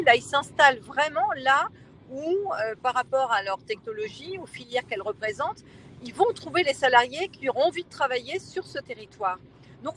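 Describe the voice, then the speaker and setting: A woman, a local elected official, explaining her position in a panel discussion, emphatic and persuasive, with hesitant pauses. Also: high voice, fairly bright tone, a little hiss or hum, low-quality recording.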